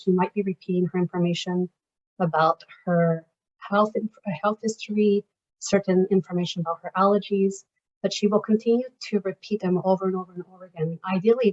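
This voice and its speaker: Slightly raspy woman with a cold. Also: mid-range voice, lo-fi sound. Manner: steady, explanatory, walks through a scenario. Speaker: workshop presenter. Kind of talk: presenting slides at an online workshop.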